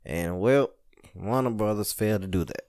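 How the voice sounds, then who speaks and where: A man's voice: on the low side, normal speed, a little mellow, no echo. Laughing man in dry podcast banter. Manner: laughs a lot, loose slangy banter. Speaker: podcast co-host. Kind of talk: casual chat.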